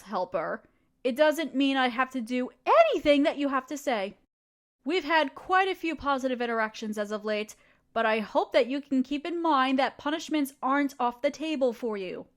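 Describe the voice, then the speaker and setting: A woman voicing a ruthless, scheming evil queen in an audio roleplay, speaking in a condescending, sardonic, commanding way. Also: sits high. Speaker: voice actor.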